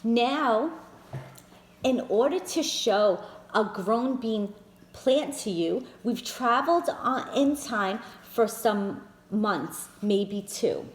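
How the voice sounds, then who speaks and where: A woman reads a picture book aloud to small children, slowly and with a bouncy, sing-song rise and fall. Her voice sits fairly high, and she keeps turning to her listeners as friends to show them the pictures. The sound carries an echo from the room. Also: lively intonation. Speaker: children's storyteller. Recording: echoey room.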